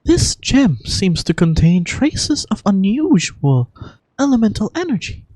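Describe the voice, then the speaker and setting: A woman voicing an earnest young female guardian in a video game, speaking in a measured, formal, polite way. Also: mid-range voice, big pitch swings, a little mellow, no room sound. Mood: thoughtful. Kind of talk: amateur video game voice acting.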